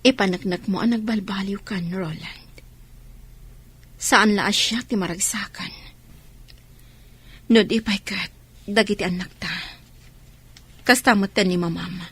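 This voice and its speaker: Breathy, hesitant wife. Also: female voice, mid-range voice, unhurried, pauses often, fairly bright tone. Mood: tender, hesitant. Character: soft, forgiving, hesitant wife. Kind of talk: Ilocano radio drama acting.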